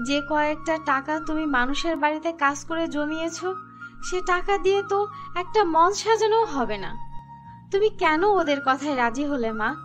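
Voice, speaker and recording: A woman voicing an excitable young bride-to-be daughter in a cartoon, girlish and emphatic. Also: sits high, normal speed, over music, voice pushed up, lightly acted. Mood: worried, pleading. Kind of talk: scripted cartoon dialogue.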